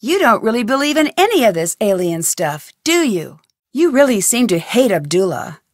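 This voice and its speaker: A young female sleuth in an adventure game, persistent and curious. Her voice sits a little high and moves with very lively intonation. She speaks earnestly and questioningly, recorded dry with no room around her. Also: normal speed, no room sound. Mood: probing, suspicious. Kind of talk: scripted adventure game voice lines.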